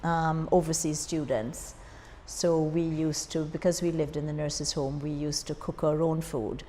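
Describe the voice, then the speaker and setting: A woman's voice: low voice, fairly even intonation, measured pace, mellow. An older woman in her sixties with a Jamaican accent, reminiscing in an oral history interview. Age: 60s. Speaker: interviewee, former overseas student nurse. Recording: echoey hall, faint background noise.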